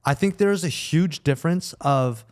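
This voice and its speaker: A man chatting casually as a podcast guest, explaining his thoughts earnestly with small pauses. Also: a little high, darkish tone. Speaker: podcast guest, content business founder. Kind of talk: casual chat.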